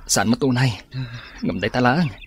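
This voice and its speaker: An actor with an Ilocano accent playing a caring, resourceful castaway who tends an ailing companion in a radio drama. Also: male voice, mid-range voice, expressive, unhurried, a little hiss or hum. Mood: concerned.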